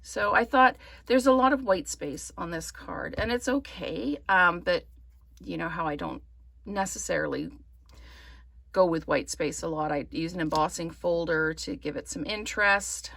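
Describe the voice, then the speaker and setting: A woman from Canada giving a relaxed craft tutorial, her voice slightly croaky from smoke, rambling with many ums. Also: lowish voice, normal speed, no room sound. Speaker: card-making demonstrator. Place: indoors at a craft table.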